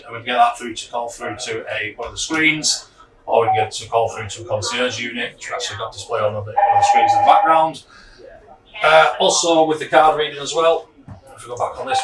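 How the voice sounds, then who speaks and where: Quick-talking man demonstrating products, echoey and distant. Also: mid-range voice, noisy background. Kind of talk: informal product demonstration walkthrough. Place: indoors, echoey room. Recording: echoey room, distant microphone.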